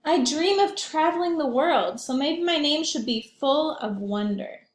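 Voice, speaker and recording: A woman's voice: high-pitched, lively intonation, a little slow. A woman reading aloud as a spirited young boy in a storybook, earnest and emphatic. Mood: proud, eager. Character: spirited young boy longing for his own name. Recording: echoey room.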